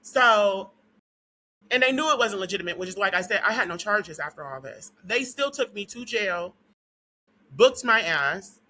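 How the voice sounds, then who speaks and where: A woman vlogger talking casually to her viewers in a rambling, profane rant full of "um"s, her voice dry and hoarse as it gives out. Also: mid-range voice, bright, forceful tone, poor audio. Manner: rambling and profane, with frequent "um" and "what do you call it".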